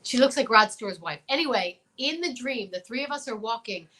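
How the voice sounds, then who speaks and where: American female co-host, bright forceful voice on the higher side, expressive and chatty. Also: a little fast, slight background noise. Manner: expressive, exclamatory. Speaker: talk show co-host. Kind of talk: casual chat.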